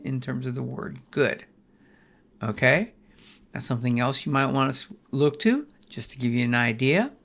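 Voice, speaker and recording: Gravelly older man teaching Bible study. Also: mid-range voice, a bit bright. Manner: folksy, rambling, with many restarts and "right?" checks. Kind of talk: screen-share Bible study lesson.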